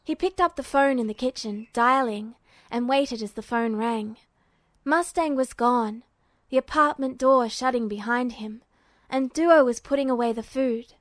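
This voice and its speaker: A woman's voice: on the high side, measured pace, no room sound. A woman narrating a scripted story as an audiobook-style reader. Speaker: podfic narrator.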